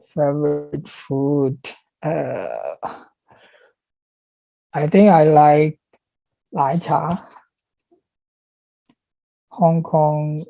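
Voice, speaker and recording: Slow, very dark-voiced young man. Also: fairly high pitch, creaky, dry recording, poor audio. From Pakistan. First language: Urdu. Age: young adult. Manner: halting, with frequent restarts. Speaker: guest speaker answering viewer questions. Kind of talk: casual question-and-answer chat.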